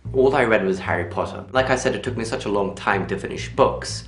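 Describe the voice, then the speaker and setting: A young man chatting casually in a personal vlog, telling things in an animated way. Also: low voice. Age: young adult. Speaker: vlogger. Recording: roomy echo, music behind.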